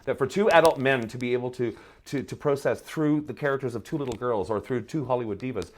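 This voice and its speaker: A man's voice: medium pitch, fast. A middle-aged man giving reflective, articulate interview answers, emphatic but often searching for his words. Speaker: guest, playwright and drag performer.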